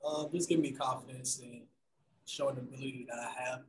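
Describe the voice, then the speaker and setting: A young man answering post-game press questions in a soft, hesitant way with many pauses and ums, over a video call. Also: medium pitch, fairly even intonation, background noise. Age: young adult. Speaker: basketball player at press conference. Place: room in front of team backdrop. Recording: roomy video call audio.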